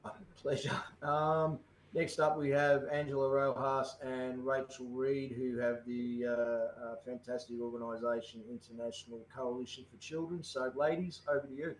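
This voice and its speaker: Halting Australian male host, echoey. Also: medium pitch, steady tone, slight background noise. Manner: halting, many fillers. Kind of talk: hosting an online forum.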